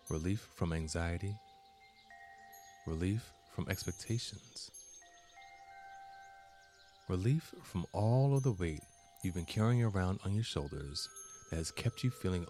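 A man narrating a scripted guided meditation as its host, deliberate and soothing, leaving long pauses between short phrases. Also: sits low, darkish tone.

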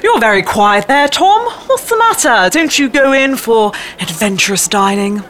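A woman's voice: a little high, very animated, normal speed, fairly bright tone. A woman playing a jovial, adventurous foodie husband in a comedy sketch. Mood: teasing.

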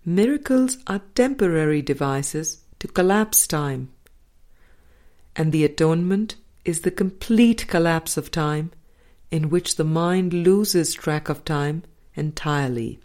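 A woman reading a spiritual book aloud as an audiobook narrator, in measured, deliberate phrases with pauses. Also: deep voice, expressive, a little mellow. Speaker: audiobook reader.

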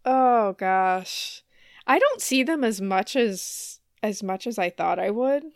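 A woman's voice: medium pitch, lively intonation, slow, clean tone, no echo, studio quality. A woman, a podcast co-host, chatting casually and playfully and often laughing as she talks. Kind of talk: casual banter between co-hosts.

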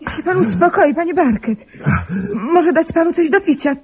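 A woman's voice: very high-pitched, animated, normal speed, a little mellow, a little hiss or hum. An actress playing an anxious, helpless young woman in a radio play, hesitant and pleading in manner. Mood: bewildered, anxious. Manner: hesitant, pleading, repeating herself. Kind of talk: Polish radio play acting.

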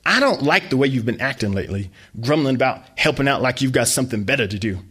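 A man voicing a domineering middle-aged mother who scolds and threatens her son, with a husky voice. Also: mid-range voice, big pitch swings, fast talker, voice pushed up. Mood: furious.

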